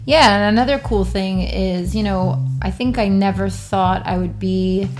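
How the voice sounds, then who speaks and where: A woman's voice: mid-range voice, a little slow, a little mellow, faint music behind. A woman co-hosting a faith-based talk show, chatting in an upbeat, enthusiastic way. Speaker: podcast co-host. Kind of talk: casual faith-based chat.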